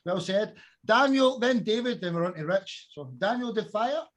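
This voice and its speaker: A man hosts a music podcast from a video call. His voice sits high and he talks in an upbeat, matey way, calling guests bro and dude. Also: expressive, moderate pace. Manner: enthusiastic, matey, calls people bro and dude. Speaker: host. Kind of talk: casual podcast hosting.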